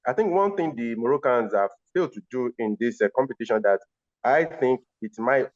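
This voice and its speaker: A man's voice: mid-range voice, expressive, fairly quick. A man hosting a football discussion and putting questions to his panelists. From Nigeria. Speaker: host. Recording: slightly dull, lo-fi audio.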